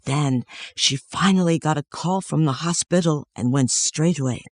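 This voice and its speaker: A man's voice: on the high side, moderate pace, soft background music. A man narrating a scripted moral story as its storyteller.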